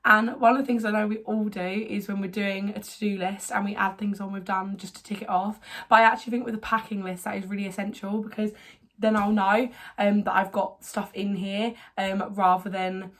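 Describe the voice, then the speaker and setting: A young woman with a hoarse voice from a cold, chatting casually at home as she walks through her packing. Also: mid-range voice, level tone, fairly quick. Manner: chatty, rambling, filler-heavy. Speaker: vlogger. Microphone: camera on tripod.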